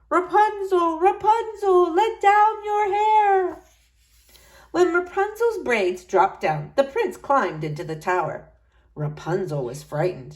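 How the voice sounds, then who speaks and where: A woman reading a fairy tale aloud as an expressive storyteller. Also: medium pitch.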